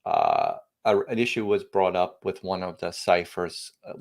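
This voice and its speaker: Low-voiced guy, founder type, rambles and restarts a lot, gets emphatic now and then. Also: wildly swooping pitch, measured pace, creaky. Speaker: guest, tech company founder. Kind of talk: podcast interview, casual technical talk.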